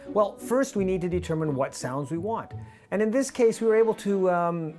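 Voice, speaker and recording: A man in his fifties with a North American accent presents a scripted behind-the-scenes explainer in a measured, clear manner. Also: fairly high pitch, darkish tone. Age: 50s. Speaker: radio drama director and presenter. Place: small indoor studio office with monitors. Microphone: lavalier on shirt.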